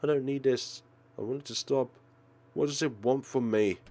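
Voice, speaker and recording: Man voicing a raised-pitch, distressed teenage schoolgirl. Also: moderate pace, a little mellow, close to an everyday voice. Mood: upset, bewildered. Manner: exclaiming, pleading. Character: distressed, frightened teenage schoolgirl, indignant and pleading.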